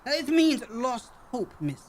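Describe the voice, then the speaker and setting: An actor in a sci-fi radio play voicing a calm, formal alien hunter from a cave-dwelling village, with measured, stilted phrasing. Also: high voice, slight background noise, full character voice. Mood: grave, calm.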